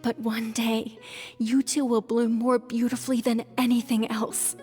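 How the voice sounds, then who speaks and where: A woman voicing a gentle, reassuring mother with a tender, soothing manner in a dramatic video game scene. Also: fairly high pitch, little pitch movement, measured pace, lightly acted. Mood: tender, consoling. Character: gentle, reassuring mother of two young daughters. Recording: long reverb, music underneath. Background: music.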